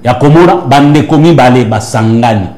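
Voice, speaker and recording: A man's voice: medium pitch, normal speed, a little mellow. Emphatic preacher man. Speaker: prophet and church leader, guest. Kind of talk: interview answers with preaching cadence.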